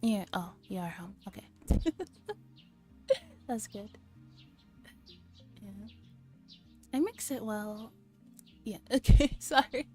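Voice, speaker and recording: A woman streamer softly reading a visual novel aloud in an ASMR style, with gentle, casual asides to her chat. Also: mid-range voice, lively intonation, slow pace, long pauses. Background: music.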